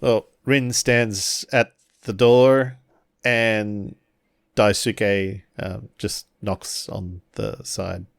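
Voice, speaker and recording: A man's voice: fairly low pitch, flat delivery, drawn-out words, gravelly, no echo, studio quality. A man improvising narration of his character's actions in a tabletop roleplaying game, hesitant and searching for words. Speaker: tabletop role-player.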